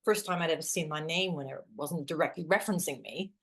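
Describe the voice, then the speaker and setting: A woman's voice: deep voice, fast, fairly bright tone. A woman acting in a naturalistic drama as a wry, self-deprecating best friend who sighs, hesitates and teases. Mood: nostalgic, wry.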